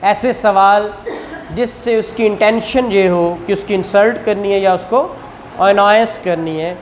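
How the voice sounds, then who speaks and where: Male law teacher, Pakistani accent, slow and deliberate, reads then explains, light background noise. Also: sits high, fairly even intonation, poor audio. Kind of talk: explanatory law lesson, reading statutes aloud then paraphrasing.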